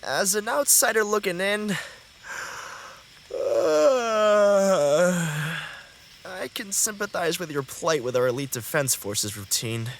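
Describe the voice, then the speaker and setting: A man's voice: sits high, animated, takes it slowly, slight background noise, exaggerated voice. A man playing a polite, chatty, self-deprecating young archer in a fantasy audio drama. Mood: polite, curious. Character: mild, modest young male archer.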